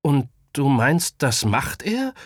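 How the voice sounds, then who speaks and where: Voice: medium pitch, animated, unhurried, no echo, studio quality, pitched above the performer's own voice, lightly acted. A storyteller voicing an earnest, plucky small schoolboy in a children's audiobook.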